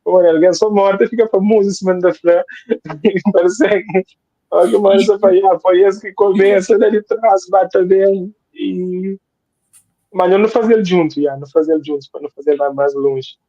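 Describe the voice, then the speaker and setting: A man's voice: high voice, fairly even intonation, moderate pace, dark tone, no room sound, muffled recording. A man from Cape Verde, talking casually as a guest author, rambling and repeating phrases for emphasis. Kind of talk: casual interview talk.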